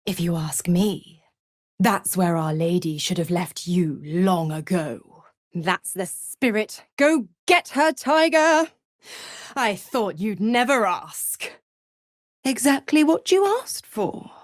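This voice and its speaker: A woman's voice: lowish voice, takes it slowly, no room sound, studio quality. An actress voicing a seductive, cruel and smug female devil in a video game, with a teasing, condescending, theatrical drawl. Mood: sly, condescending. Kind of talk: video game character voice acting.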